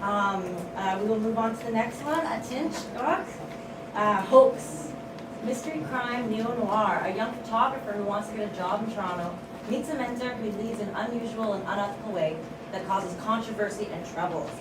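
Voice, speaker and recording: A woman's voice: mid-range voice, normal speed. A woman hosting a live table read, giving informal introductions. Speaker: event host. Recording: roomy, distant mic, loud noise.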